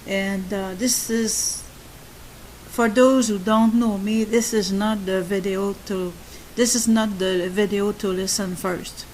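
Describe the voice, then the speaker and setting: A woman with a soft, gentle voice and a non-native English accent speaks to her subscribers at a very slow pace. She pauses often, restarting her sentences and filling the gaps with "uh" and "um." A faint hiss sits under the recording. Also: medium pitch, steady tone, low-quality recording. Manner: slow, halting, with many fillers and restarts. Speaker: channel host. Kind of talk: spoken monologue to subscribers.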